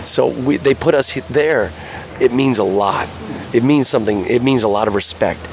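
A musician speaking in a relaxed, conversational way in an interview. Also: male voice, medium pitch, moderate pace. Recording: noisy location recording. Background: ambient noise.

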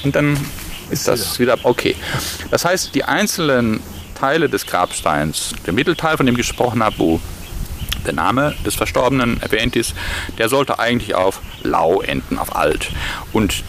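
A man, a researcher, explaining his findings in a lively, detailed way, at times counting out loud. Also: mid-range voice, normal speed, slight background noise. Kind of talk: explanatory talk.

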